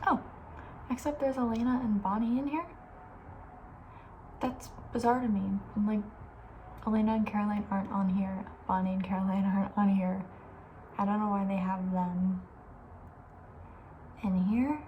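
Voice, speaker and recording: Soft-spoken ASMR woman, noisy recording. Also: mid-range voice, fairly even intonation, moderate pace, pauses often, lo-fi sound. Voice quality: soft, breathy, often near a whisper. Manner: chatty, informal, thinking aloud. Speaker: ASMR video host.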